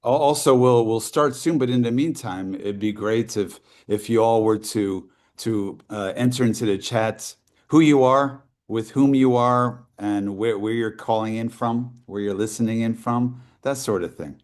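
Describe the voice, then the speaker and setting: A man co-hosting a webinar over a video call, speaking in a measured way. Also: mid-range voice, darkish tone. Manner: measured, refers to journalism. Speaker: webinar co-host. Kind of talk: casual video call chat.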